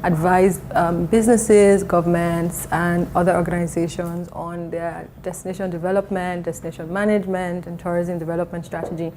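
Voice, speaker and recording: Measured woman tourism adviser. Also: on the low side, flat delivery, mellow, a little hiss or hum. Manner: measured, hesitant with restarts. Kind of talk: casual panel chat.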